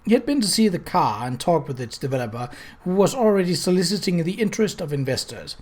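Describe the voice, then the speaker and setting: A man reads period fiction as an audiobook narrator. His voice sits slightly high, and he delivers the prose as scripted, dramatic storytelling. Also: sing-song, fast talker, a little mellow. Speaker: audiobook reader.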